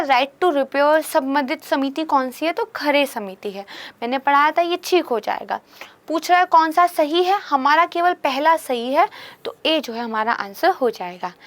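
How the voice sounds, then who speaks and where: A young woman teaching an exam-prep lecture, a little hoarse, explaining steadily and checking in with "right?" and "theek hai". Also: sits high, fairly bright tone. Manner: explanatory, peppers speech with English terms and "theek hai". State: hoarse from a sore throat. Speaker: current affairs teacher.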